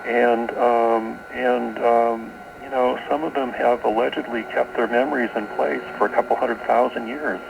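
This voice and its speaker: Through clear shortwave noise comes a man with a creaky, fry-laden voice at an average pitch. He speaks as an interview guest explaining esoteric beliefs, doubling back on words in a halting way. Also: steady tone. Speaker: guest author. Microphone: radio broadcast, taped off air. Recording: noisy shortwave radio broadcast on cassette.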